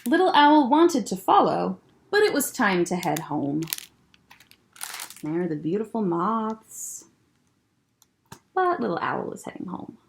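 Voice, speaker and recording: A woman who is a children's librarian, reading a picture book aloud to children in a gentle, expressive way and pointing out the pictures. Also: medium pitch, a little slow, gravelly, long pauses, a little hiss or hum.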